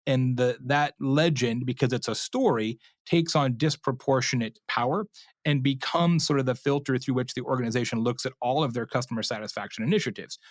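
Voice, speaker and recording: A man's voice: medium pitch, brisk. A man with a mid-range voice speaks as an expert guest in an interview. He explains in a conversational, storytelling way, slipping into imagined quotes and checking in with the listener. The sound is dry, with very little room echo. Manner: animated storyteller, acts out imagined customer quotes, checks in with "right?".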